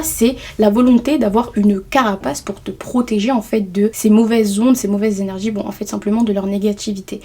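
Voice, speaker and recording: A woman's voice: medium pitch, dark tone, slightly rough. A young adult woman giving earnest self-help advice straight to the viewer, in a casual vlog. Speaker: self-development vlogger. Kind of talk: direct-to-camera advice talk. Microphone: camera mic.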